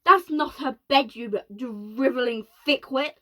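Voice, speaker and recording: A woman voicing a rude, rasping male president who snaps and barks at people. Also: high voice, sing-song, normal speed, pitched above the performer's own voice. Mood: irate. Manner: snappish, barking.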